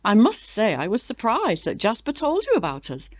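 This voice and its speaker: A woman's voice: on the high side, huge pitch swings, moderate pace, no echo, dull audio. A woman playing a chatty, proud older British club chairwoman in an audio drama, genteel and theatrical in manner. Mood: gracious.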